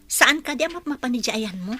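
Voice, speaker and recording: A woman's voice: high-pitched, animated, a little fast, over music. A woman playing a sympathetic village confidante in a Filipino radio drama, offering advice.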